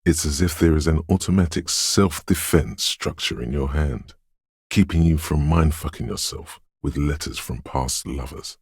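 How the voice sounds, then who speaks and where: A man playing a detective's ominous, dreamy intuition in a video game, speaking in a hushed, portentous, theatrical way with dramatic pauses and a gravelly, husky voice. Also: very deep voice, no room sound, clean studio sound, exaggerated voice. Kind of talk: video game voice acting, second-person inner monologue.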